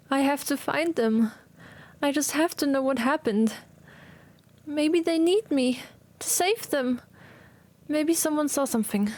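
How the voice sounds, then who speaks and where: A woman playing a distraught but determined girl in a fantasy audio play, asking desperate, halting questions. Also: sits high, darkish tone, pitched above the performer's own voice. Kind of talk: dramatised audio play acting.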